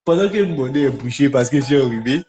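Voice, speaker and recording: A man preaching in a conversational, charismatic style to online viewers, repeating short phrases for emphasis with pauses between them. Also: medium pitch, animated, dark tone, husky. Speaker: preacher hosting a live online prophetic session. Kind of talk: charismatic sermon and prophetic teaching, conversational, addressing viewers. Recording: dull, lo-fi livestream audio.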